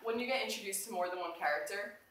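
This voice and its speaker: A woman's voice: medium pitch, racing, a bit bright. A woman teacher leading a classroom read-aloud discussion, encouraging and prompting with questions. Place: indoors, classroom. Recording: echoey room from far off, faint noise.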